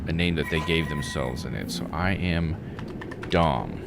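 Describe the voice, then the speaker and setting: A man's voice: a bass voice, a little slow, gravelly, very noisy. A man giving casual live commentary as he plays a game, thinking aloud in disbelief. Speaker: game streamer. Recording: music behind the voice. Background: game music.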